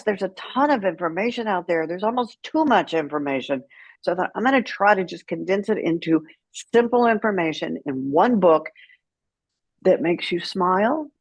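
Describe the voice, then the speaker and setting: A woman's voice: fairly low pitch, no room sound, muffled recording. A middle-aged woman speaking as an interview guest, telling stories in a frank, wry, conversational way. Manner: frank, wry, acts out remembered dialogue. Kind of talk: candid conversational storytelling.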